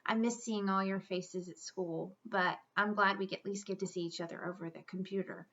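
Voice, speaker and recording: A woman's voice: mid-range voice, fairly even intonation, moderate pace, fairly bright tone, muffled recording. A woman reading a picture book aloud as a school storyteller, expressively and with dramatic emphasis. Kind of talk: expressive children's read-aloud.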